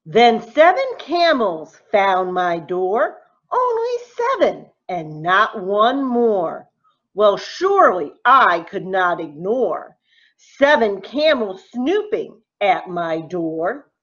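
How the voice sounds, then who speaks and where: A woman teacher reading a rhyming picture book aloud to children in a deliberate, expressive, sing-song way. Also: medium pitch, highs cut off. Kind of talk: expressive storybook read-aloud in rhyme.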